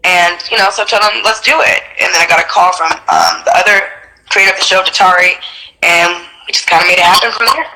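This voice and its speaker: A woman in her thirties, a reality TV personality and singer, chatting in a casual interview with a stuffy nose, animated and slangy, laughing often. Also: on the low side, quick pace, rough recording.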